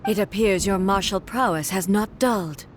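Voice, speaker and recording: A woman's voice: medium pitch, normal speed, a little hiss or hum, close to an everyday voice. An actress voicing a cold, commanding woman ruler in a video game, with an imperious, clipped delivery. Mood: solemn. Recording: loud game music behind.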